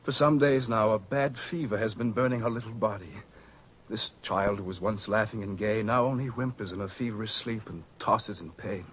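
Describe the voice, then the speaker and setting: A man acts out a desperate father in an old-fashioned radio drama. His lowish voice trembles and halts as he pleads. There is faint noise on the recording. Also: normal speed, dull audio, close to an everyday voice. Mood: anxious pleading. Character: desperate pleading father of a sick little girl.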